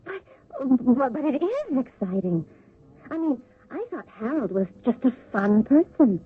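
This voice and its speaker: A woman's voice: high-pitched, big pitch swings, a little slow, slightly rough, full character voice. An actress in an old-time radio drama playing a dreamy, easily thrilled woman office worker who gushes romantically. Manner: gushing, wide-eyed. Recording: dull lo-fi radio recording.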